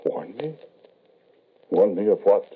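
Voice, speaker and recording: An actor playing an embittered, lonely, grieving father in an old radio drama, speaking formally and deliberately with dramatic pauses. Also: on the low side, lively intonation, breathy edge, narrow, tinny audio, full character voice. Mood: insistent, agitated. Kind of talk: 1940s radio drama acting, first-person narration. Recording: band-limited vintage radio recording.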